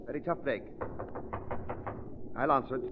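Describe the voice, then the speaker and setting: A man's voice: mid-range voice, moderate pace, lightly acted. Guy as friendly chatty steward, old radio drama, muffled with a bit of hiss. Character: obliging, chatty male ship's steward. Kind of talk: 1940s radio acting.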